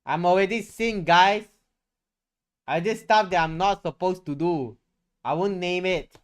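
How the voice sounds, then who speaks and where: A man's voice: high-pitched, a little slow, clear, resonant tone. A man chatting casually on a livestream, rambling and candid, swearing freely as he goes. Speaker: streamer and VTuber.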